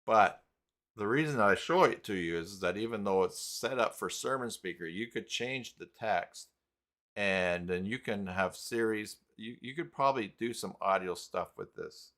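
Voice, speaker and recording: A man hosting a live stream tutorial in a casual, halting way, thinking aloud as he goes. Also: fairly low pitch, a bit bright. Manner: halting, thinking aloud while clicking around. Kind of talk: casual live tutorial talk, reading chat.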